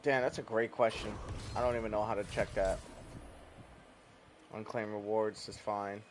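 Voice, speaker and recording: A man's voice: lowish voice, fairly even intonation, moderate pace, background noise. Laid-back swearing male game streamer. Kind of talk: casual gameplay commentary.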